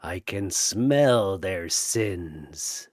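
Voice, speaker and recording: A man voicing an ancient, gleefully malicious horned demon in a fantasy battle story, raspy, slow and menacing. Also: deep voice, expressive, darkish tone, no room sound, studio quality, pitched below the performer's own voice, heavily acted. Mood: gleeful menace.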